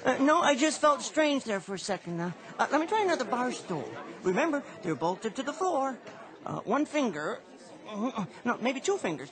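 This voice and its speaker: An actor playing a mild, polite, fussy man with a halting manner in a radio drama. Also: very high voice, very animated, crowd noise. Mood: flustered. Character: mild, fussy, meek man showing off new superhuman strength.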